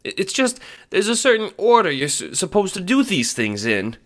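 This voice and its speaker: A man's voice: high voice, huge pitch swings, moderate pace, fairly bright tone, voice pushed up, exaggerated voice. A man voicing a timid, anxious and helpful cat sprite who hesitates and stammers, in an audio drama. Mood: flustered, hesitant.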